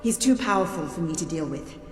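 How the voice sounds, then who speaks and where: A woman's voice: low-pitched, lively intonation, fairly quick, soft background music. A woman playing a resolute, businesslike rebel leader in a video game, speaking in an earnest, firm, plain-spoken way. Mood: earnest, urgent. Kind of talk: dramatic video game voice acting. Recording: echoey hall, faint noise.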